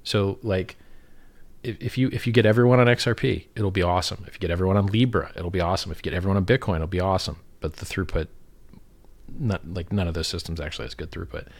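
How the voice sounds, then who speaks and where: A man's voice: deep voice, fast. A man giving an opinionated, casual explanation, blunt and emphatic, repeating himself and swearing freely.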